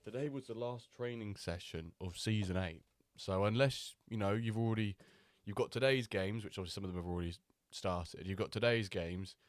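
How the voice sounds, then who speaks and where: A man hosting a live stream, chatting loosely with many pauses and fillers, still a little nasal from a cold. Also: on the low side, no room sound, studio quality. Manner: chatty, rambling, with many ums and restarts. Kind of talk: casual live-stream chat.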